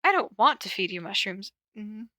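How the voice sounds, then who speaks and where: Teasing, courteous young schemer voiced by a woman, bright and dry-recorded, storybook-style reading. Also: mid-range voice, sing-song, moderate pace, dry recording. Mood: politely coaxing. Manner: formal, smooth-worded, teasing politeness. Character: sly, mock-innocent young man, polite and teasing.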